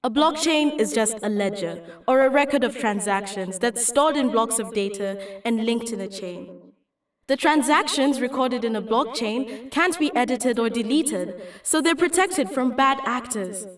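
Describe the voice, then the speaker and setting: A woman's voice: a little high, measured pace, echoey room, far from the mic, lightly acted. A woman playing an earnest, idealistic young Kenyan activist in a dramatic motion comic, explaining and persuading. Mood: earnest, explanatory.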